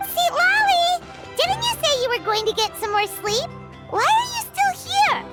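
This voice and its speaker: A woman voicing a tiny, childlike fairy companion in a video game, chatty, excitable and cheeky. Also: extremely high pitch, measured pace, loud music behind the voice, heavily acted. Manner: excitable, teasing, refers to self in third person. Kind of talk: video game character acting.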